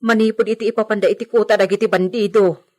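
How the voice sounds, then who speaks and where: A woman's voice: medium pitch, steady tone, fast talker, darkish tone, natural voice. A woman playing an anxious, deferential village servant in a radio drama. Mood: anxious, pleading. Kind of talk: Ilocano radio drama acting.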